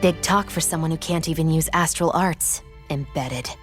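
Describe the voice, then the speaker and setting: A woman's voice: deep voice, moderate pace, faint music behind, natural voice. An actress playing a proud, defiant young woman fugitive in a video game, haughty and curt. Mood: cold, disdainful.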